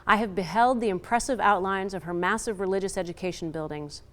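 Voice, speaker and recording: A woman's voice: mid-range voice, steady tone, brisk. A woman reading a historic letter aloud in a public reading. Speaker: reader.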